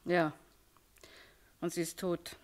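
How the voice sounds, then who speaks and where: A woman's voice: lowish voice, level tone, slow pace. An actress playing a jaded, world-weary woman shop owner with a shady past, dismissive and brusque, in a German radio crime drama. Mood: jaded, indifferent.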